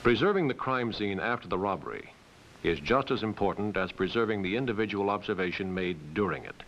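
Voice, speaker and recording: Man, low pitch, measured authoritative narration, formal wording, faintly hissy old soundtrack. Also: lively intonation, lo-fi sound. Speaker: training film narrator. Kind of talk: scripted documentary narration.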